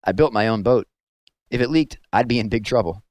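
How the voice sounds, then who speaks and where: A man's voice: lowish voice, fairly quick, a little mellow, dry recording, close to an everyday voice. Friendly young country onion seller, read by a man. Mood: easygoing, assured.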